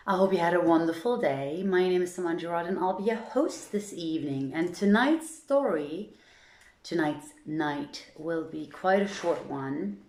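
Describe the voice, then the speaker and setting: A woman hosts a reading in an informal, chatty way, addressing her viewers directly. Her voice sits a little on the low side for a woman. The sound is noticeably roomy, with the echo of an indoor space around her. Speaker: host of an evening story reading. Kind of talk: casual livestream introduction to a reading.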